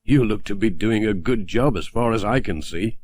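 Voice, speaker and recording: A man's voice: lowish voice, normal speed, darkish tone, lightly acted. A man voicing a friendly, homely village postman in a children's storybook.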